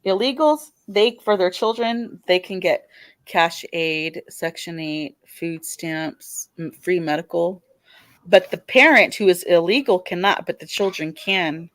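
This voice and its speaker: A woman's voice: lowish voice, a little slow, a bit bright, no echo. A woman with a Californian accent, calling in to a live debate show, talking hesitantly with many restarts and fillers.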